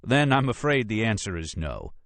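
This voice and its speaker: Man playing an irritable, self-important male lawyer, forcefully emphatic. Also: on the low side, big pitch swings, moderate pace, no room sound, voice pushed up. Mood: stonewalling. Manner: emphatic, pompous, impatient. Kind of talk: audiobook character dialogue.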